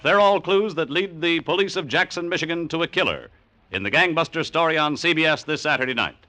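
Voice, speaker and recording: A man with an American accent reads announcements in a vintage radio style. His voice sits slightly high and rings bright and crisp with a formal, polished delivery, on an old broadcast recording.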